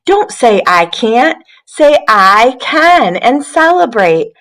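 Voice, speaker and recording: Woman as an upbeat, encouraging storybook twig, unhurried. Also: on the high side, big pitch swings, a bit bright, voice pushed up, heavily acted. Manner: urging, upbeat. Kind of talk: children's read-aloud character voice.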